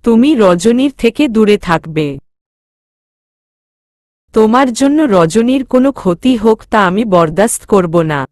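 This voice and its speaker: A woman's voice: mid-range voice, lively intonation, slow pace, long pauses, darkish tone, dry recording, clean studio sound. A woman voicing a stern, irritated father with a firm, commanding manner in an audio story.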